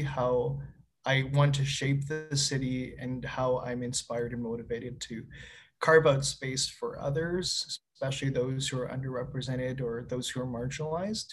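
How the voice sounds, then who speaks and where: A young man from Winnipeg co-hosting a casual conversation, upbeat and encouraging. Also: medium pitch. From Winnipeg, Canada. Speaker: co-host. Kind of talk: casual conversation over video call.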